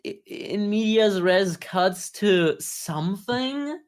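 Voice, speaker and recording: A podcast co-host with a mid-pitched voice talks through a review in a casual way. The phrasing is informal and peppered with swearing. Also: lively intonation, slow pace.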